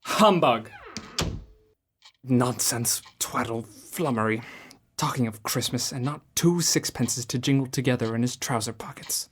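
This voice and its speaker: A man's voice: mid-range voice, unhurried, a little hiss or hum, natural voice. Male actor as a sour, tight-fisted old miser who snaps out orders; radio play. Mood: scornful. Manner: curt, scornful, barking orders. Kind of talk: student radio drama acting.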